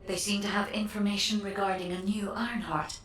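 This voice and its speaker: A woman voicing a serene artificial intelligence guardian in a game, polite and measured. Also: mid-range voice, little pitch movement, a bit bright, roomy sound, distant mic, natural voice. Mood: calm, earnest. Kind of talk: scripted game dialogue.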